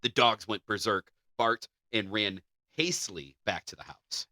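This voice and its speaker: A man's voice: on the low side, animated, measured pace, pushed and bright, dry recording, studio quality. A man co-hosting a podcast, moving between reading scripted narration and informal chat. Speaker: podcast co-host.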